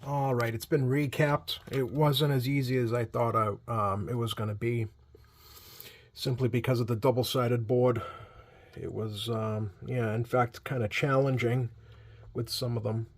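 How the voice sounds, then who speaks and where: Casual, halting man talking through a demonstration. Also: medium pitch, steady tone. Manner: halting, easygoing, thinking aloud. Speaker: radio repair hobbyist hosting a video. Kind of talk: casual unscripted hands-on demonstration commentary.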